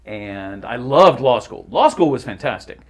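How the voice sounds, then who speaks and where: Middle-aged man, lively swooping intonation, medium pitch, articulate, emphatic, casual interview storytelling. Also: a little slow, husky. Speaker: voice actor guest.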